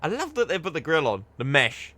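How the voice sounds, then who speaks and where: Lively young man chatting. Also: fairly high pitch, a little fast. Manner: chatty, enthusiastic, often restarts his sentences. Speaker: gaming video creator. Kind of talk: casual gameplay commentary.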